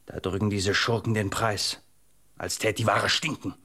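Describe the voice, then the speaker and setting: A man's voice: fairly low pitch, fairly even intonation, moderate pace, pushed and bright. An actor playing a proud, impatient young fisherman husband in a radio play, brusque and dismissive in manner. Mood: grumbling. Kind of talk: German radio play acting.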